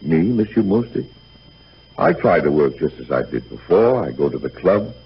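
An actor playing a gentle, shaken, grieving middle-aged father in a 1930s radio drama, halting and stammering apologetically. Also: low-pitched, big pitch swings. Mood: grieving, earnest. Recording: muffled, noisy 1938 radio broadcast.

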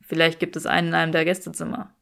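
A woman's voice: low voice, level tone, racing, creaky, a bit bright, voice pushed down, exaggerated voice. A woman voicing a haughty young aristocratic man in an audiobook, teasing in a dry, mock-dramatic way.

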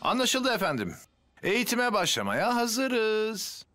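An earnest male firefighter in a children's cartoon. He is polite and dutiful, pitched a little high. Loud music plays behind him. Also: big pitch swings, slow, full character voice. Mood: calm, instructive. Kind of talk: animated children's series dub acting.

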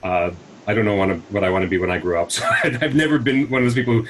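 A man's voice: lowish voice, very fast, slight background noise. A man in his forties from the United States, a nonprofit CEO answering interview questions on a video call through earbuds, reflective and full of fillers. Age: 40s. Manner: thoughtful, many fillers and restarts. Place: small room at home, indoors. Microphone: wired earbuds on a video call.

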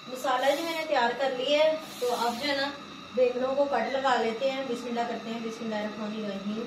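A woman's voice: fairly high pitch, fairly even intonation, fast talker. Homely Pakistani woman, echoey room. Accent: Pakistani Urdu. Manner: homely step-by-step talk, often saying "jo hai na". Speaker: village vlogger. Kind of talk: casual cooking narration. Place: small room at home, sitting on a floor mat. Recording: echoey room with clear background noise.

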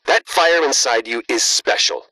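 A man's voice: mid-range voice, unhurried, sharp tone, no echo, poor audio. A man playing an upbeat, earnest, slightly goofy heroic soldier in a video game, jokey and encouraging. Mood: excited, encouraging.

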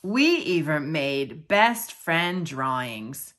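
Woman voicing a bubbly, animated little pig. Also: low-pitched, drawn-out words, pitched below the performer's own voice, full character voice. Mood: excited. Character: cheerful, excitable girl pig, playful best friend.

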